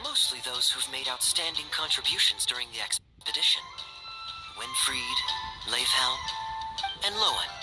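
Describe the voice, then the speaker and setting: A man's voice: mid-range voice, normal speed, close to an everyday voice, low-quality recording. A man voicing a suave young knight captain in an anime-style video game, with a sly, theatrical, teasing drawl. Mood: suave, casual. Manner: theatrical, drawling, playfully insinuating. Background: game music.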